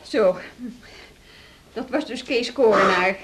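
A woman's voice: medium pitch, slow pace, very noisy, close to an everyday voice. An actress playing a kindly, plain-spoken woman on a hospital staff in a radio drama, matter-of-fact and sympathetic. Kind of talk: naturalistic Dutch radio drama dialogue.

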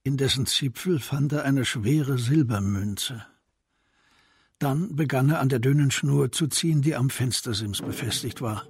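A man narrating a crime radio play as scripted literary storytelling, in a measured manner. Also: medium pitch.

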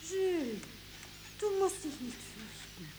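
A woman's voice: high voice, very animated, slow, warm tone, a little hiss or hum, full character voice, poor audio. An actress playing an anxious, tender mother pleading in a radio drama.

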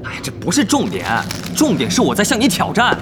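A man's voice: high-pitched, wildly swooping pitch, brisk, reverberant, background noise. An actor playing a cocky, hot-headed young male online gamer in an audio drama, brash and exclamatory, whiny when thwarted. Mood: cocky challenge. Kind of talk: Chinese audio drama acting.

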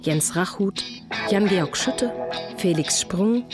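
A woman's voice: medium pitch, slow pace, over loud music. A woman reading scripted credits as an announcer.

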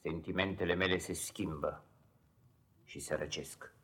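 An actor playing a cold, domineering, self-righteous husband in a radio play, pompous and stern, with deliberate theatrical delivery. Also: male voice, low voice, fairly even intonation, a bit bright, breathy edge. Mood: indignant, pompous. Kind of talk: 1950s radio theatre acting.

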